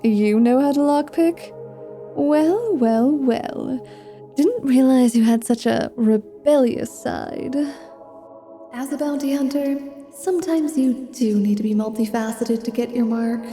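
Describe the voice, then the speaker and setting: A woman voicing a flirtatious, mischievous vampire paladin in an ASMR roleplay, with a teasing, drawn-out delivery. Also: sits high, dark tone, soft background music. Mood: scheming, teasing. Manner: slow, teasing, sultry delivery with drawn-out words.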